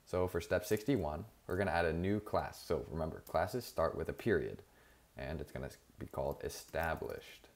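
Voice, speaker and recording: A man narrating a screen-recorded coding tutorial in a casual, relaxed way, with frequent fillers and self-corrections. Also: extremely low pitch, moderate pace. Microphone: voice-over over screen recording.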